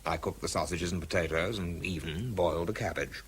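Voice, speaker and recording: An actor as a low-voiced Englishman in a radio drama, worn down by drink and self-loathing. He is dry, terse and bitter, with noise audible behind him. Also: fairly quick, close to an everyday voice. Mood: weary, tense. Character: world-weary, bitter, self-loathing man struggling with drink. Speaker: narrator and lead actor. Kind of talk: radio drama narration and dialogue.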